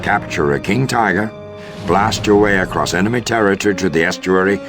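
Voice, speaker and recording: A very low, commanding American officer, delivers a formal mission briefing in a war video game. His speech is measured and authoritative, with prominent music underneath. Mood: brisk, resolute.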